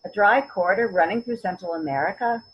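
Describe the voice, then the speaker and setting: Elderly mother played by an actress, husky voice, medium pitch, wry, stubborn, principled, searching for words, emphatic, stage drama reading. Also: fast talker, dull audio, close to an everyday voice. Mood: resolute. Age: older. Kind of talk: virtual readers theater acting.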